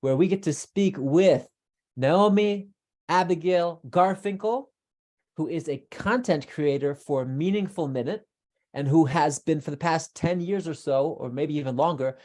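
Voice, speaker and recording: A man's voice: on the high side, unhurried, a little mellow. A man hosting a podcast, chatty and upbeat, who often restarts his sentences. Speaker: podcast host. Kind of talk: podcast interview.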